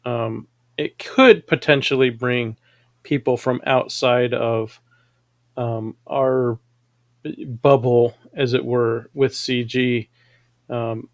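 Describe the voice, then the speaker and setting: Male co-host, mid pitch, dry close mic, reasoned and agreeable. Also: fairly even intonation, takes it slowly, dry recording. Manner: backchannels often, reasoned. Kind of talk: casual discussion.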